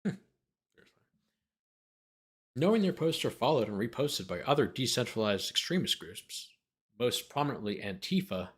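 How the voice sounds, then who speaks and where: A man's voice: medium pitch, very animated, moderate pace, pauses often, husky. A man who hosts a livestream as a lawyer, reading a document aloud and breaking off into sarcastic, exasperated, argumentative commentary.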